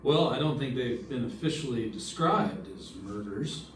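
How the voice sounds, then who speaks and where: A man's voice: mid-range voice, lively intonation, normal speed, natural voice. A man playing a wry, skeptical hard-boiled investigator in an old-time radio detective drama. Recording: echoey room, distant mic, background noise.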